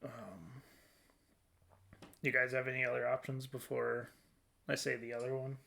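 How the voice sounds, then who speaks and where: A man's voice: medium pitch, level tone, unhurried, creaky, bright, forceful tone. A man hosting a casual podcast discussion, conversational and a little hesitant, often trailing off. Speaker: podcast host.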